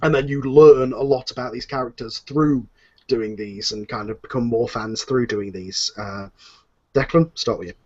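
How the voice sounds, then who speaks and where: A man's voice: medium pitch, normal speed, darkish tone. Rambling enthusiastic man, muffled lo-fi audio. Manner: rambling, enthusiastic, many fillers and restarts. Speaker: writer of an audio drama series. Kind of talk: casual panel chat. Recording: muffled lo-fi recording.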